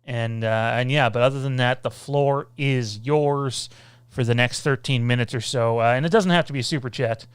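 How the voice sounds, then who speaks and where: A man hosting a casual livestream Q&A, rambling loosely, reading out viewer comments and filling pauses with lots of "uh" and "um.". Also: medium pitch, smooth, dry recording. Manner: rambling, reads out viewer comments, many filler sounds. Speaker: podcast host.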